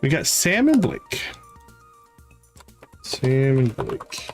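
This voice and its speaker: A man's voice: mid-range voice, huge pitch swings, very slow. A man casually commentating his live gameplay, talking to himself in short remarks and repeating words as he thinks. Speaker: game streamer. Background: music.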